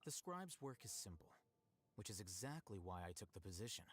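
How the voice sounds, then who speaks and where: An actor playing an aloof, dry young scholar-scribe in a video game, speaking in a measured, matter-of-fact way. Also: male voice, fairly low pitch, sing-song, fairly bright tone, slightly rough, full character voice. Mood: cool, dry. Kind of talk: scripted video game character voice lines.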